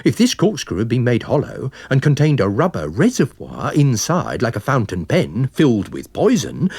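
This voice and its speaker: A man's voice: mid-range voice, vocal fry, a little mellow, husky, no echo, voice pushed up, exaggerated voice. A man voicing a wary, methodical police inspector with a plain, official manner in a dramatised story reading. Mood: excited realisation. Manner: official, plain-spoken, deliberate.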